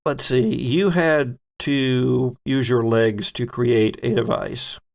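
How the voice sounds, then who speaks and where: A man with a creaky, medium-pitched voice acts as game master. He narrates scenes off the cuff and teases his players as he goes, keeping it casual. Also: steady tone, slow.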